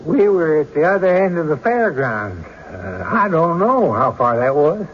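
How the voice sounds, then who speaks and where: A man playing an evasive, defensive rustic witness in a radio courtroom drama, with a folksy, halting, stammering delivery. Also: fairly high pitch, big pitch swings. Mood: hedging, uneasy. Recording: dull, noisy old radio recording.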